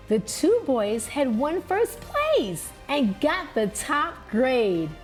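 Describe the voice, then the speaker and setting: Expressive, lively woman reading a children's story. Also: high-pitched. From New York. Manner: deliberate, expressive. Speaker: children's read-aloud host.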